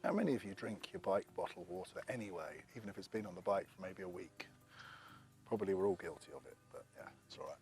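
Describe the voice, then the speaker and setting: A man's voice: sits low, fast talker. A man who is losing his voice, chatting casually through a bike review to camera with rambling asides.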